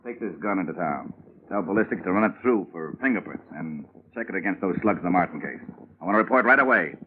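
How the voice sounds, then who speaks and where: An actor playing a brisk, matter-of-fact police sergeant in a 1950s radio drama. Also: male voice, low-pitched, lively intonation, slight background noise. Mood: businesslike. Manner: brisk, businesslike, plain-spoken. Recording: tinny old radio broadcast.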